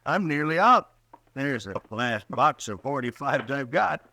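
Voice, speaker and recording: A jittery, fussy storekeeper. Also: male voice, mid-range voice, animated, a little slow, sharp tone, exaggerated voice. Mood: eager. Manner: fussy, anxious, eager to please. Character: jittery small-town storekeeper, middle-aged man. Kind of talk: 1950s radio drama acting.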